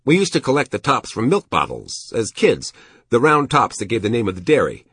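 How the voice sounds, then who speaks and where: Man acting an emphatic, husky antiques dealer. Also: medium pitch, huge pitch swings, fairly quick, a bit bright, no echo, studio quality, voice pushed up, exaggerated voice. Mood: excited reminiscing. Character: fussy, nostalgic American male antiques dealer, curt to servants.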